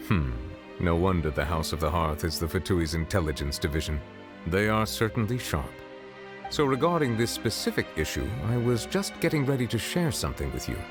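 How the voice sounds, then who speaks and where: A man voicing a dignified, reserved ancient dragon statesman in a video game, formal and measured. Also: low-pitched, big pitch swings. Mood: thoughtful. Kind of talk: video game character acting. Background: faint game music.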